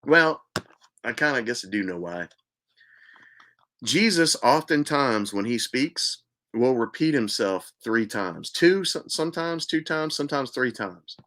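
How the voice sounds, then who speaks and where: A man earnestly telling a personal spiritual story as host, repeating himself for emphasis and sometimes dropping to a hushed voice. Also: mid-range voice, expressive, measured pace. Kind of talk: personal testimony monologue.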